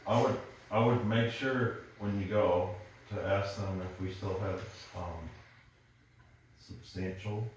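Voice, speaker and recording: A man's voice: fairly low pitch, fairly even intonation, slow, slight background noise. A man at a town planning meeting, arguing his point firmly. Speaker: planning commission member. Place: echoey town office meeting room. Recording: echoey room from far off.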